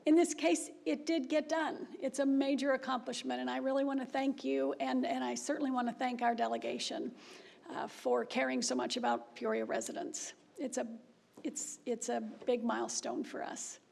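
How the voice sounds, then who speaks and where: A woman's voice: sits high, level tone, normal speed, vocal fry. A middle-aged woman chairing a city council meeting at a desk microphone, speaking with frequent restarts. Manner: warm praise, repeated restarts. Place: council chamber.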